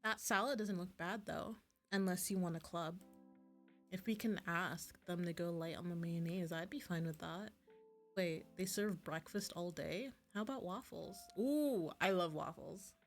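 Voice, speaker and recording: A woman's voice: lowish voice, a little slow. A woman livestreamer chatting casually while playing visual novels, rambling and gushing and often interrupting herself. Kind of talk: casual chatty stream commentary. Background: background music.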